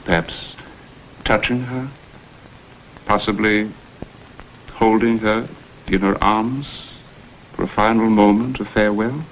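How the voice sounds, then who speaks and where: An actor playing a smooth, insinuating blackmailer who poses as a humble go-between, with florid, theatrical phrasing, in a vintage crime drama. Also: male voice, on the low side, steady tone, takes it slowly, long pauses, poor audio. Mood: veiled menace. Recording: vintage TV soundtrack, faint noise.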